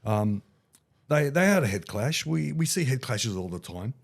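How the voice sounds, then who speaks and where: A man with a head cold, a little nasal, rambling excitably in casual sports chat as a podcast co-host. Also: medium pitch, moderate pace, gravelly.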